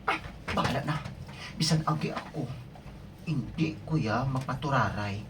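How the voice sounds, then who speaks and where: A woman's voice: very deep voice, moderate pace. A woman rehearsing radio drama lines, stumbling and repeating them between bits of casual chat. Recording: distant mic with faint background noise.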